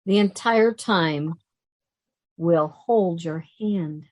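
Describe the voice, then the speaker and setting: A woman acting as a slow, solemn grieving woman. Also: lowish voice, darkish tone. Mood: comforting. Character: grieving woman, somber, comforting companion. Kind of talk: audio play acting.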